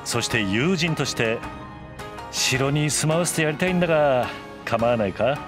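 A middle-aged male shogun as acted by a man, relaxed yet in charge, speaks with wry, casual authority. His pitch moves in a lively way, and anime music plays clearly underneath. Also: moderate pace, close to an everyday voice. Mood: easygoing. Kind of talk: anime voice drama.

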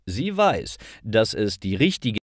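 Man, story narrator for language learners, careful phrasing, slow pace, dry close sound. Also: lowish voice, sing-song, no echo. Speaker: narrator of stories for German learners.

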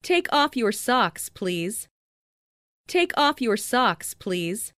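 A woman reading short example sentences with careful, deliberate enunciation for a listening lesson, in a dry studio voice-over. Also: on the high side, sing-song, fairly bright tone, no room sound. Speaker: listening practice speaker. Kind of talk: slow read example sentences.

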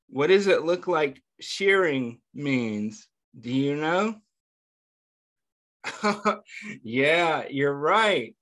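A man reading a picture book aloud to young children, pausing often to ask them questions and praise their answers, and calling himself by name in the third person. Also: fairly high pitch. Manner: gentle, patient, asks questions and pauses for answers. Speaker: children's story reader.

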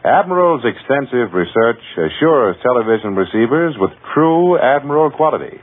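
A man's voice: medium pitch, sing-song, normal speed, breathy edge, phone-quality sound. A man reading a scripted vintage radio advertisement as a commercial announcer.

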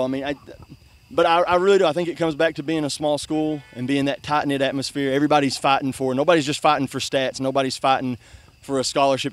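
A young man, a soccer coach giving a postgame interview outdoors by a river at night, soaked from a celebratory jump, talking earnestly with many restarts and "I mean". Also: medium pitch. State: soaking wet after a river jump. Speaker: high school soccer coach.

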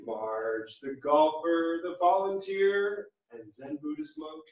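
An actor as a teasing grown son, echoey stage. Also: male voice, sits high, slow, creaky, full character voice. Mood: cheerful, charming. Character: chatty, teasing grown son charming his mother's friends. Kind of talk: theatrical staged reading. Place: small theatre stage. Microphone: distant camera. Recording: echoey theatre, recorded from far off.

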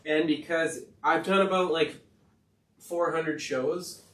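A man with a high-pitched voice, talking in a rambling, profane and emphatic way on a casual podcast. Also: takes it slowly, a bit bright. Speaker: podcast host and rapper. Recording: roomy sound from far off the mic.

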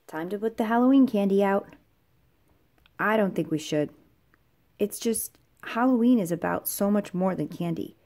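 A woman's voice: medium pitch, expressive, moderate pace, long pauses, mellow. A woman reading a children's comic aloud, playfully switching tone between the characters. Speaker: read-aloud narrator. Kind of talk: children's comic read-aloud, acting out dialogue.

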